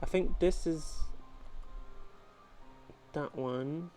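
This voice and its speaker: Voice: mid-range voice, very slow, warm tone, faint music behind. A game player giving casual playthrough commentary, thinking aloud. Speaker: gaming channel host.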